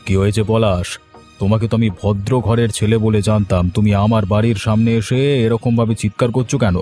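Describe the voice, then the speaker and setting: A man's voice: fairly low pitch, steady tone, brisk, warm tone, natural voice. An actor playing a mild elderly village landowner in a cartoon story, wounded and placating as he protests innocence. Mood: hurt, placating. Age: older. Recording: music under the voice.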